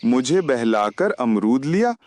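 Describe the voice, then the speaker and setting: A man's voice: mid-range voice, sing-song, a little slow, a little mellow. A man voicing an old crow uncle in a children's cartoon, kindly and given to admonishing the young. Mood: aggrieved. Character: old male crow uncle, gentle but scolding. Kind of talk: Hindi children's cartoon dub.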